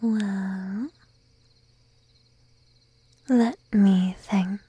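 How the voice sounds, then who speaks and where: Woman doing a timid clingy sheep girlfriend, asmr roleplay, breathy whispers, really slow and sleepy. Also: medium pitch, steady tone, no echo, exaggerated voice. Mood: clingy, coaxing. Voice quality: soft, breathy, mostly whispered. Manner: timid, hesitant, drowsy, slow and cooing. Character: shy, needy young sheep-girl girlfriend, clingy and affectionate.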